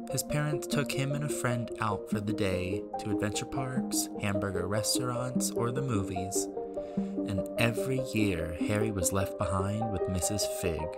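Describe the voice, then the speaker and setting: A man reading a story aloud as narrator, in scripted storybook style. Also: fairly low pitch. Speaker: narrator, amateur audiobook reader.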